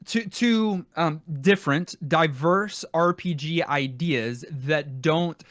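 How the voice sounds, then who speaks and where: A man co-hosting a casual gaming podcast, explaining his points in a halting, rambling way with self-corrections. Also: a little high, fairly bright tone, dry recording, studio quality. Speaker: podcast co-host. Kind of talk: casual retro gaming discussion.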